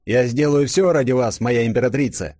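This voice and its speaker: A man's voice: mid-range voice, fairly quick, mellow, dry recording, clean studio sound, pitched above the performer's own voice, natural voice. A man doing a loyal deferential admiral. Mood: respectful. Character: loyal male admiral, respectful.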